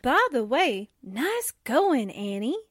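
A pert newspaperwoman sidekick from a 1940s radio drama, played by a woman. Her slangy talk is high and very lilting, recorded dry. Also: sing-song, takes it slowly, no echo, full character voice. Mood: breezy, curious.

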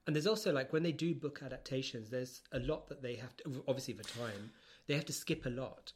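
A man's voice: mid-range voice, a little fast. A man co-hosting a podcast, chatting casually with a bookish enthusiasm. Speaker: podcast co-host. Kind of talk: casual chat.

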